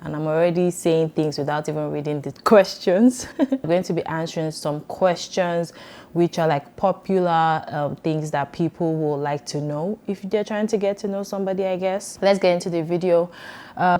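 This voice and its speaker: Chatty Nigerian woman, low voice. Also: a little mellow. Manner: chatty, rambling, laughs often. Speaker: vlogger. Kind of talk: casual get-to-know-me chat.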